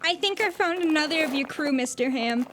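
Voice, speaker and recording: A woman's voice: high-pitched, expressive, fairly quick. A woman acting as a self-assured, practical young wife in an amateur audio drama. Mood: unsettled. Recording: noisy, clicks and vehicle ambience.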